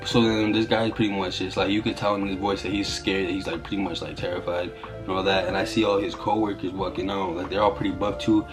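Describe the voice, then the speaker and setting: A man's voice: low voice, little pitch movement, fast. A young man telling a story in a casual, slangy vlog style with music behind him. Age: young adult. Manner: slangy, conversational. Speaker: YouTuber telling a story to camera.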